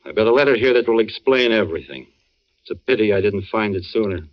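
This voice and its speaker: An actor playing an earnest, somber man, a knowing family friend, with measured dramatic delivery in 1940s radio style. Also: lowish voice, lively intonation, slight background noise, close to an everyday voice. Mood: grave. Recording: muffled old radio recording.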